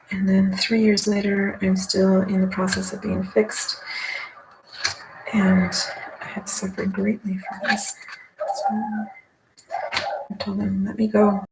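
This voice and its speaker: A woman's voice: mid-range voice, gravelly. An American woman chatting casually and rambling, asking and answering her own questions as if talking to herself. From United States. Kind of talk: rambling casual solo chat while playing cards. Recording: very noisy recording with clicks.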